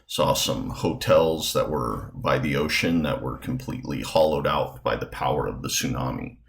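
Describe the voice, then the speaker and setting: A man with an extremely low voice, full of fry. He talks like a teacher leading a casual lesson, halting as he puts his thoughts together.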